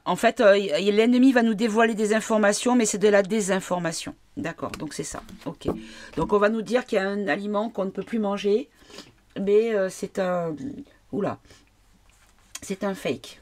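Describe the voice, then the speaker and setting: A woman's voice: medium pitch, normal speed, pauses often, a little mellow, a little hiss or hum. A woman with a cold, reading tarot cards for her viewers in a casual, hesitant way, often asking the cards questions aloud. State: has a cold. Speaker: tarot card reader.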